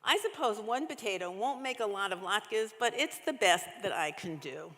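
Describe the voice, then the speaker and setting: A woman's voice: mid-range voice, animated, normal speed, fairly bright tone, lightly acted. Woman reading as a kindly male grocer, reverberant hall. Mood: apologetic, generous. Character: kindly, gruff-but-generous male grocer. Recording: echoey church hall, long reverb.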